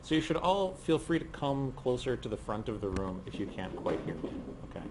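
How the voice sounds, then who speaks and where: A middle-aged man lecturing on physics to a class, speaking softly and hoarsely because he has a cold and is losing his voice, with frequent ums. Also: medium pitch, very animated, brisk, noisy background. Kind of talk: university lecture. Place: lecture room.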